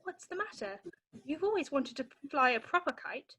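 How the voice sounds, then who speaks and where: A woman's voice: high voice, very animated, normal speed, bright, forceful tone, heavily acted. A woman playing an anxious, earnest young girl in an amateur musical read-through, with a childlike manner. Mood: encouraging.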